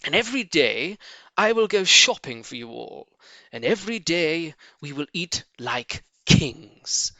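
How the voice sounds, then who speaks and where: A jovial, confident fox leader played by a male reader addresses his friends in grand storybook speeches. The voice is bright, animated and lifted, with a rousing orator's swing. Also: fairly high pitch, a little slow, dry recording, full character voice.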